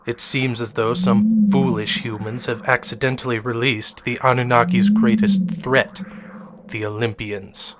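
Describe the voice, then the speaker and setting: Ancient cosmic mentor for audio drama, male actor: mid-pitched, formal, grave, commanding, short on patience. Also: fairly bright tone, a little hiss or hum, natural voice. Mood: impatient, grave. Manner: formal, solemn, portentous.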